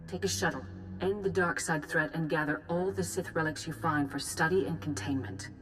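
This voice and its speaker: A woman's voice: sits low, normal speed, music in the background, natural voice, poor audio. A woman playing a grave Jedi grand master in a video game, formal, composed and authoritative. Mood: grave authority.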